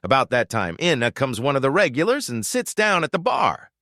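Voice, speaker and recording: A man's voice: medium pitch, no echo, studio quality. A man reading a string of jokes aloud, shifting his voice for the people in them. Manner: lively storytelling, quick shifts into character voices. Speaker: joke teller.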